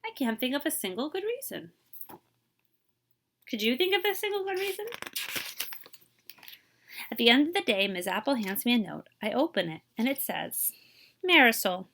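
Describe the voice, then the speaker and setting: A woman reading a picture book aloud to children as a librarian, expressive and explanatory, putting questions to the listener. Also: a little high, normal speed, vocal fry, pauses often, slight background noise.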